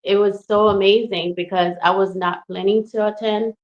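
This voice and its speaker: A woman's voice: medium pitch, steady tone, moderate pace, highs cut off. A woman in her thirties from Haiti, chatting casually and effusively about herself as an interview guest. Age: 30s. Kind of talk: casual personal chat.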